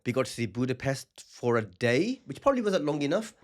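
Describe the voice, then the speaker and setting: A man with a mid-range voice hosts a comedy podcast, joking and teasing in relaxed chat. His talk is playful and boastful, with a casual, easy flow. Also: mid-range voice, fairly quick. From England. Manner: playful, teasing, self-aggrandizing. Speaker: podcast host, stand-up comedian.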